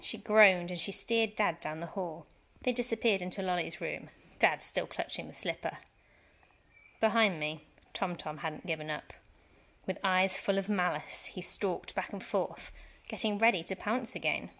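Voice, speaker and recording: A woman reading a children's storybook aloud as a teacher, with animated, dramatic emphasis. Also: fairly low pitch, measured pace. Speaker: primary school teacher reading story time.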